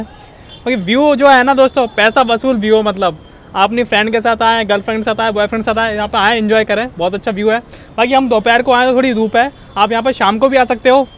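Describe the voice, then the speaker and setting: A man's voice: very high-pitched, a bit bright. A man, presenting a casual vlog to camera on an open rooftop. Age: 20s. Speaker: vlogger. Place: open rooftop cafe above a busy street. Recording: clearly noisy outdoor recording, street traffic.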